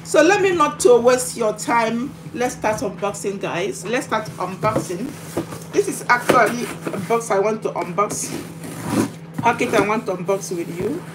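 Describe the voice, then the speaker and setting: A woman chatting casually to her livestream viewers while unboxing, halting and repeating herself, recorded in a home kitchen. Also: a little high, expressive. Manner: halting, repetitive, chatty delivery. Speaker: livestream vlogger. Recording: noisy home recording with clicks.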